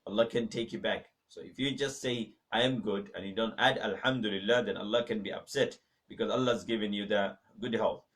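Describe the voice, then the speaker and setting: Low-voiced man teaching Arabic from afar. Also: fairly even intonation, distant mic. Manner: patient, repeats phrases slowly with many okays. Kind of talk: live-streamed beginner language lesson. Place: mosque classroom.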